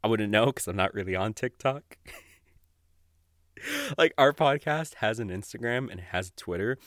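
A young adult man hosting a podcast solo, chatting casually and off the cuff in a giggly, rambling, sweary way with halting false starts. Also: lowish voice, expressive, fairly bright tone, no echo, studio quality. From Mexico or of Mexican family. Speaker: podcast host. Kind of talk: casual unscripted podcast monologue.

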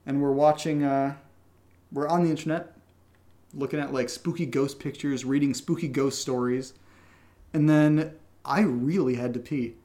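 A man's voice: mid-range voice, steady tone, moderate pace, dark tone. A man co-hosting a casual question-and-answer chat, telling stories in a playful, self-deprecating way. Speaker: podcast co-host and audio drama writer.

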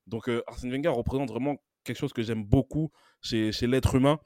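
A man's voice: medium pitch, fairly even intonation, fairly quick, a little mellow, no echo, studio quality. A man giving admiring opinions as a podcast panelist, building his points through emphatic repetition. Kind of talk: admiring opinion in casual discussion.

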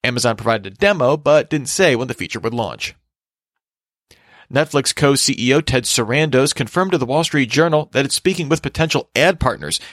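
A man reading scripted tech news as a podcast host in a matter-of-fact, measured way. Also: medium pitch, fairly bright tone, no echo, studio quality.

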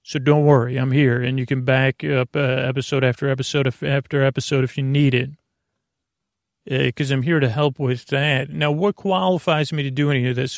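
A man hosting a bedtime podcast in a creaky, gravelly voice, gently meandering through tangents and halting self-corrections to reassure the listener. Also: mid-range voice, no room sound, clean studio sound. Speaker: podcast host. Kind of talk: rambling, unscripted bedtime podcast monologue.

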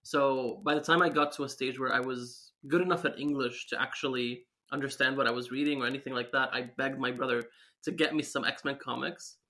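A young man in his twenties who grew up in Syria speaks as a podcast guest. His voice is bright and forceful, sitting in the middle of the male range. He talks eagerly and often breaks into a light laugh. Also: medium pitch, fairly even intonation, fairly quick. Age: 20s. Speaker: guest comic creator. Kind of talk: casual interview chat.

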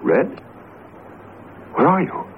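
An actor playing a nervous, flustered professor and husband in a radio drama, speaking in a hesitant, excitable, stammering way. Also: male voice, takes it slowly, natural voice. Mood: panicked. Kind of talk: vintage radio drama acting. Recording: muffled lo-fi radio broadcast, faint noise.

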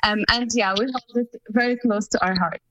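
A woman's voice: on the high side, fairly even intonation, moderate pace, sharp tone, dry recording, low-quality recording. A woman of Palestinian family background, answering interview questions in an earnest, explanatory way with many fillers. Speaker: guest.

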